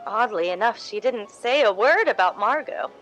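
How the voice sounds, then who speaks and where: A woman playing an ambitious, scheming, falsely sweet young stage actress in a radio drama, deliberate and insinuating. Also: medium pitch, animated, a bit bright, highs cut off, lightly acted. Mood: defensive, pleading. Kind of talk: recreated 1950s radio drama acting.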